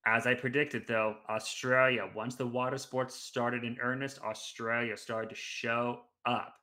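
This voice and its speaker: A man's voice: medium pitch, flat delivery, unhurried, pushed and bright. A man in his thirties on a headset, talking sports at home in a casual, analytical way, running through results at length. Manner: talkative, analytical, restarts mid-phrase. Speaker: podcast guest.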